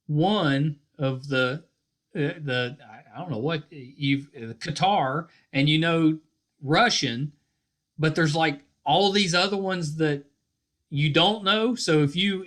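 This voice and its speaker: A man hosting a podcast interview, relaxed and restarting his sentences as he asks questions. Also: a little high. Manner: relaxed, repeats words as he restarts. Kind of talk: casual interview chat.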